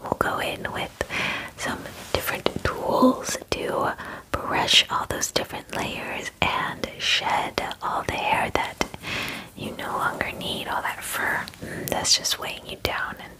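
Young woman doing asmr werewolf groomer lady, super slow breathy whisper, gentle n soothing, close on the mic. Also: natural voice, poor audio. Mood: soothing, reassuring. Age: 20s. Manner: slow, soothing, repeats words softly, hesitant pauses. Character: gentle, attentive female werewolf spa groomer. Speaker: ASMR roleplay performer. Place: small dim indoor room. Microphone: close microphone, facing camera.

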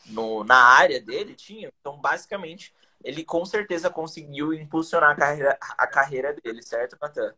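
A man's voice: fairly high pitch, expressive, moderate pace, hardly any pauses, sharp tone, breathy edge. A young man from Brazil, an English teacher, chatting energetically on a video call with slang and rhetorical questions. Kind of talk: casual live-stream chat, teaching tips. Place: indoors, small room. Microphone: webcam on a video call.

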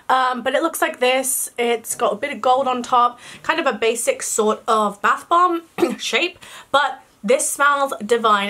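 A young woman with a croaky voice and a cough, chatting casually through a product haul in her bedroom. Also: a little high, bright, forceful tone. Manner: chatty, rambling, lots of fillers. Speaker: beauty vlogger. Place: bedroom, indoors.

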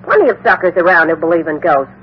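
A woman's voice: lowish voice, lively intonation, fast, close to an everyday voice. A woman playing a hard-bitten, defensive phony medium in a 1950s radio drama, with brassy, slangy delivery. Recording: muffled, hissy 1950s radio recording.

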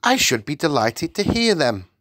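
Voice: mid-range voice, sing-song, normal speed, fairly bright tone, no echo, voice pushed up. A storybook reader voicing a gracious, self-composed queen with a polite, formal manner.

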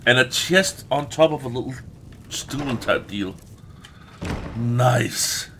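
A man's voice: mid-range voice, lively intonation, fairly bright tone, a little hiss or hum. A man giving casual, exclamatory gameplay commentary with frequent swearing as a let's play host. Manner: exclamatory, often swearing, drawn-out words.

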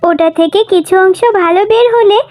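A woman's voice: very high voice, brisk, darkish tone. A woman voicing a sweet, eager little girl fox cub in a cartoon, childlike and pleading. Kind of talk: cartoon voice acting. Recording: music under the voice.

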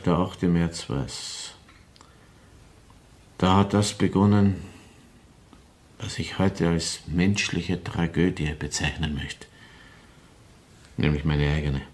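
Low-voiced, brooding Viennese murderer. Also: male voice, slow pace, pauses often. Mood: grave, confessing. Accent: Viennese Austrian. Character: confessing opera-house murderer, brooding, bitter woman-hater in his thirties. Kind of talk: crime radio drama acting.

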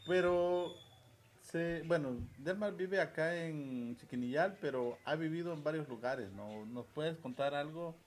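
A man's voice: on the high side, a little slow. A man in his forties with a southern Mexican accent, hosting an informal interview and often tagging questions onto his phrases. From Chiapas, southern Mexico. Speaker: host and interviewer. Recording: noticeable background ambient noise.

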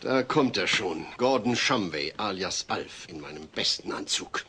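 A man's voice: low-pitched, expressive, measured pace, pushed and bright, slight background noise, full character voice. An actor playing a fussy, exasperated middle-aged suburban father in a German comedy audio drama, stern and precise. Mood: dry, annoyed. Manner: stern, long-suffering, precise.

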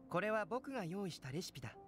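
High-voiced, calm young alchemist. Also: male voice, faint music behind. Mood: calm, curious. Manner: measured, polite, analytical. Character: young male alchemist, calm, curious, detached scholar. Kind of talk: anime video game acting.

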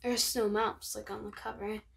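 A young teenage girl reading a picture book aloud, expressive and child-friendly, with chatty asides. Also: medium pitch, normal speed. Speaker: host of a read-aloud channel. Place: bedroom, sitting on a bed. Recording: clear background noise.

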